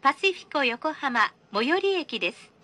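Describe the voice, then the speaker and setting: A woman's voice: high voice, sing-song, moderate pace, bright, forceful tone. A woman reading a scripted train announcement in a polite, formal, carefully enunciated manner.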